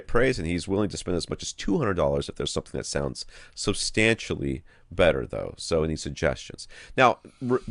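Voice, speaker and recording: A man with a very low voice hosts a casual podcast. His delivery swings widely in pitch and has a wry, jokingly blunt edge as he thinks out loud. The sound is dry and close. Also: dry recording. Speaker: podcast host. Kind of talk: casual banter, reading out listener questions.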